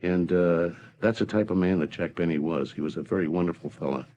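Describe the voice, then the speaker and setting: A man's voice: deep voice, brisk, mellow. An older man recalling things conversationally, on dull archive audio. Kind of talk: conversational recollection.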